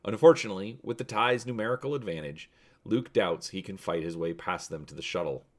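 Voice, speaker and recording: Low-pitched man reading a story dramatically. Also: a little fast. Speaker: host and narrator.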